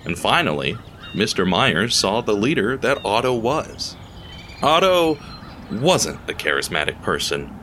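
A man narrating an audio drama in a deliberate, theatrical storytelling style, speaking straight to the listener. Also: on the low side, big pitch swings, sharp tone, slight background noise.

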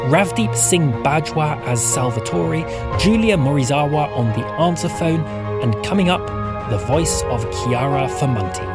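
A man reading scripted podcast credits and a listener appeal as the announcer. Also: on the high side. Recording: loud music under the voice. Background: loud music.

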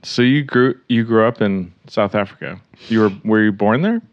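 A man's voice: lowish voice, moderate pace, lo-fi sound. A man hosting a podcast, chatting in a friendly way with frequent fillers. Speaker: podcast host. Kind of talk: casual interview chat. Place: home in Oregon.

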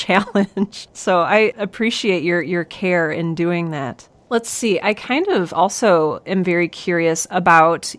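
A woman hosting an interview podcast, asking curious questions and sometimes poking gentle fun at herself. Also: fairly low pitch, no echo. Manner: curious, self-deprecating questioning. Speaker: podcast host. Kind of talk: casual interview conversation.

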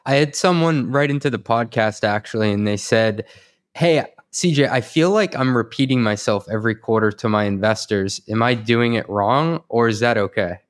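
A man with a medium-pitched voice hosts a podcast interview. His delivery is casual and inquisitive, moving between short remarks and questions for his guest. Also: moderate pace. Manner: informal, inquisitive.

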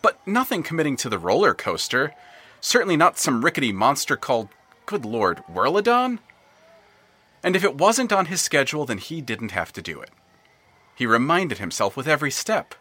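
Male narrator for an audio drama, wry and animated, with a bright edge to the tone. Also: mid-range voice, moderate pace, husky.

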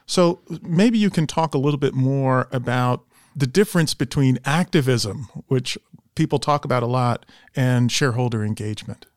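A man's voice: mid-range voice, normal speed, dry recording. Male podcast host, dry close sound. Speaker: podcast host and investment strategist. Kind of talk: podcast interview, introducing and questioning a guest.

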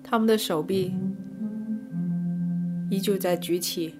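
A woman narrating a scripted first-person arts review in measured, descriptive short phrases. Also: fairly low pitch, over loud music. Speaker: podcast host and reviewer.

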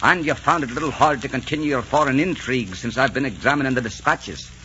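An actor playing a bold, ardent Scottish lord in a theatrical, romantic 1940s radio drama style. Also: male voice, mid-range voice, quick pace, sharp tone, slight background noise, natural voice. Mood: defiant, cold. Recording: dull 1940s radio broadcast recording.

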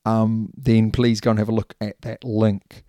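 Podcast co-host, male, New Zealand accent, dark mellow voice, dry close sound, loose rambling delivery. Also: fairly low pitch, little pitch movement, a little slow, dry recording. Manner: chatty, laughs easily, rambling asides. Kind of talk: casual rugby podcast chat.

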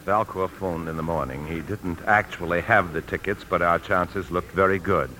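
An actor playing a nervous American bank employee in a 1950s radio drama, tense and defensive. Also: male voice, sits low, steady tone, moderate pace, bright, forceful tone, slight background noise, rough recording. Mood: tense narrating. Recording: old radio broadcast with faint music.

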